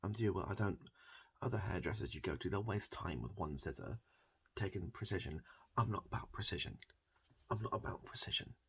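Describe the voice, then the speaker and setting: Man, very low husky voice, softly spoken ASMR comedy, deadpan, rambling, repetitive. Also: a little fast.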